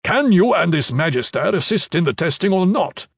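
A man's voice: sits high, sing-song, moderate pace, vocal fry, slightly rough, no room sound, studio quality, pitched above the performer's own voice, full character voice. A man voicing a blunt, impatient military commander who talks in curt demands.

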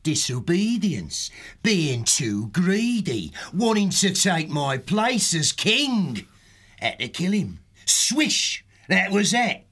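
A brutal sea rat pirate king from a storybook. The voice is forceful and gravelly, raised high and swinging widely. He gloats in a slow, salty drawl. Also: big pitch swings, exaggerated voice. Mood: gloating, taunting.

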